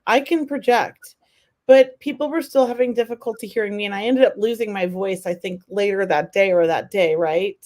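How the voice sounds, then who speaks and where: A woman's voice: medium pitch, moderate pace, a little mellow. A middle-aged woman co-hosting a spiritual radio talk show, rambling enthusiastically with many fillers and restarts. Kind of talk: casual talk show chat.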